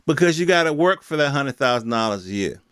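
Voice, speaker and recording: A man's voice: medium pitch, lively intonation, fast talker, a bit bright, clean tone, no room sound. American man ranting in a very dry recording. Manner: emphatic, repetitive, colloquial rhetorical ranting. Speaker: podcast host. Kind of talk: unscripted opinion commentary on the news.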